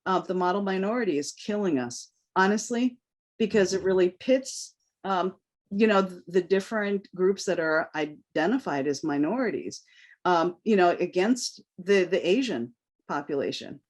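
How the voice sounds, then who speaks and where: A woman's voice: fairly low pitch, expressive, moderate pace. Earnest woman from New York, first language Cantonese. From New York, United States. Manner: earnest, impassioned. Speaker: guest. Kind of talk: candid interview answer.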